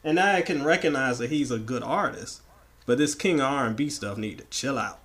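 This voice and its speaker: A man with a slightly raspy voice from the tail end of a flu, bantering emphatically and playfully as an opinionated podcast co-host. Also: mid-range voice, lively intonation, brisk, a bit bright. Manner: playful, emphatic, repeats words for effect. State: getting over the flu.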